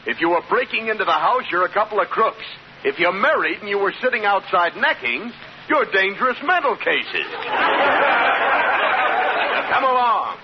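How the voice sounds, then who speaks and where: A man's voice: high voice, big pitch swings, a crowd in the background. An actor playing a suspicious, bossy policeman who talks with mocking sarcasm in an old radio comedy. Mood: stern. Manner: sarcastic, drawn-out mocking replies. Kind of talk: 1940s-50s radio situation comedy acting. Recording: dull noisy old radio, loud audience.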